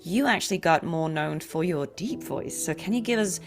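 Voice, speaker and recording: A woman chats as an interview host in an expressive, encouraging manner. Her voice sits fairly low but swings with lively intonation, over faint music. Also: normal speed. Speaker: voice actor hosting an interview show. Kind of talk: chatty, friendly interview.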